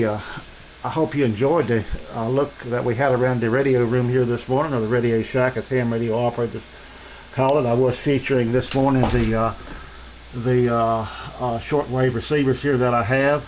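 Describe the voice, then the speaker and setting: An American man giving a casual, unscripted tour of his radio room in a halting, rambling, folksy and polite way. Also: mid-range voice, steady tone. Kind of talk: casual unscripted show-and-tell narration. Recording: dull lo-fi home video, faint noise.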